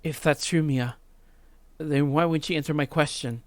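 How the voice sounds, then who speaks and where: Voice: medium pitch, fairly even intonation, moderate pace, dry recording, pitched below the performer's own voice, close to an everyday voice. Someone acting out an urgent, indignant schoolkid. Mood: pleading. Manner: urgent, pleading, emphatic. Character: desperate, accusing schoolkid Megatron, indignant and confused.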